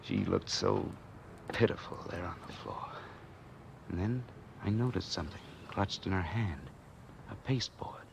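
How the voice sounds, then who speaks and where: Deep-voiced hardboiled noir man. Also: a little slow, gravelly, background noise, heavily acted. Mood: uneasy narration. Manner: terse, deadpan, slangy. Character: wary hardboiled man on the run, posing as detective. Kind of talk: 1940s radio noir acting, first-person narration. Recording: old time radio recording with music behind.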